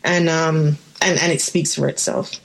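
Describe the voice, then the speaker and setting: A woman from Canada, raised partly in Jamaica, guest on a radio show, talking in a relaxed, chatty way about her small business. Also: sits low, normal speed, vocal fry, poor audio. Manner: relaxed, chatty, laughs easily, uses fillers. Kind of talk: radio interview.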